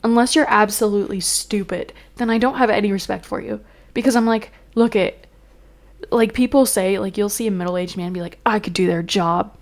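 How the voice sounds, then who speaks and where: A woman's voice: medium pitch, normal speed. A young woman vlogging in a chatty, rambling way, full of fillers, a little congested and sniffling. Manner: chatty, venting, exasperated. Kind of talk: casual chat.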